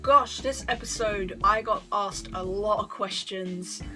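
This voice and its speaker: A woman's voice: mid-range voice, a little slow, bright, forceful tone, poor audio. Young woman vlogger, tired, rambling, apologetic, with music playing beneath her. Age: young adult. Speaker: YouTuber answering fan questions. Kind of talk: casual vlog chat. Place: bedroom.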